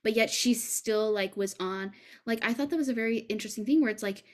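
A woman's voice: fairly high pitch, a little fast. A young woman co-hosting a podcast in casual chat, rambling and filling her sentences with "like". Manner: rambling, heavy use of "like". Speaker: podcast co-host.

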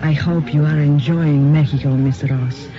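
A woman playing a grave, enigmatic Mexican widow with a blunt, measured manner, in a radio drama. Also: low-pitched, steady tone, close to an everyday voice. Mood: cool, polite. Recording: muffled lo-fi radio drama, faint music.

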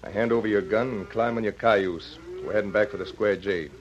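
Man playing a blunt, low-voiced western sheriff. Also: fairly quick, music in the background, natural voice. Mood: stern, commanding. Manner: blunt, commanding. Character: firm, gruff Old West sheriff. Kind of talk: old radio western acting. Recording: dull lo-fi vintage radio recording.

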